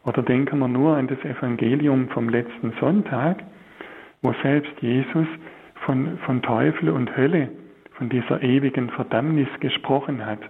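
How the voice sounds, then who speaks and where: Slow, husky priest. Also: male voice, medium pitch, phone-quality sound. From Swabia, Germany. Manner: slow, searching, many hesitations. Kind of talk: spiritual talk on a religious broadcast.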